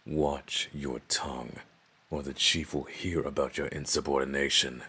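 An extremely deep-voiced male orc in a fantasy audio drama. The voice is rough and slow, pompous and menacing, that of a domineering bully who expects to rule. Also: flat delivery, bright, forceful tone, full character voice. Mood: haughty, threatening. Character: arrogant, domineering male orc, would-be chief.

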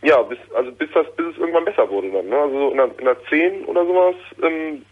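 A man's voice: a little high, fast talker. A man in his twenties calling a radio show, speaking hesitantly with many fillers and restarts. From Germany. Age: 20s. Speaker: caller. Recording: phone line.

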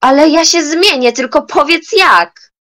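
High-voiced, slow young woman playing a theatrical grandmother. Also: sharp tone. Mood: remorseful. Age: young adult. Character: grumpy old grandmother turned remorseful and joyful. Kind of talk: amateur school audio drama.

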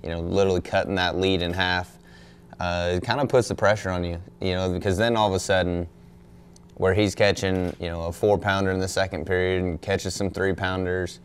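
A young man, a pro angler, answering questions at a press conference in a relaxed, thoughtful way, full of "you know" and "um". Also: sits low, a little fast, a little hiss or hum. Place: indoor press room in front of a backdrop. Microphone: desk microphone on a stand.

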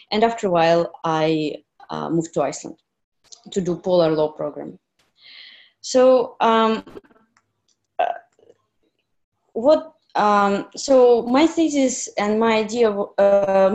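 A woman's voice: medium pitch, darkish tone. A young woman from Russia with Russian as her first language, giving a talk over a video call, hesitant, with many fillers and non-native phrasing. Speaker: presenter. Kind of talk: online conference talk.

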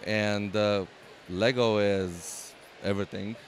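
A man with a Romanian accent speaks slowly and haltingly in a lowish voice, pausing often to find his words. He is interviewed inside a large convention hall with faint crowd noise behind him. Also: fairly even intonation. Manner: hesitant, many fillers and restarts. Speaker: LEGO builder and exhibitor. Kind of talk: casual interview.

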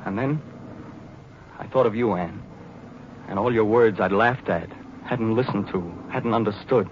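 An actor playing a brash, cynical young American navy pilot turned idealist, in an intense, theatrical 1940s radio drama monologue. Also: male voice, lowish voice, a little slow, lightly acted. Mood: brooding, searching. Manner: intense, theatrical, searching delivery. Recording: noisy, dull 1940s radio recording.

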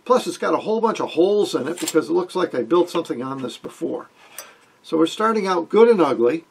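An older man with a husky voice gives an informal electronics tutorial in a folksy, wryly joking way. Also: fairly high pitch, lively intonation, fairly quick, slight background noise. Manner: folksy, wry joking explanations. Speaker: amateur radio hobbyist, video host. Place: small wood-panelled room indoors.

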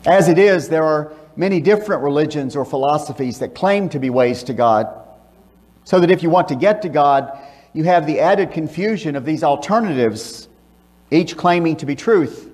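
Older guy preaching at a pulpit, steady emphatic delivery, big church echo. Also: fairly high pitch. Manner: measured, emphatic preaching with rhetorical questions. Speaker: pastor. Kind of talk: sermon with scripture reading and prayer. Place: church sanctuary, speaking from a pulpit. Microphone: pulpit microphone, filmed by a far camera. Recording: long church reverb.

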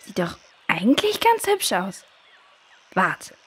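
A woman's voice: mid-range voice, huge pitch swings, measured pace, background noise. A woman playing a curious, helpful young girl adventurer in a children's audio drama.

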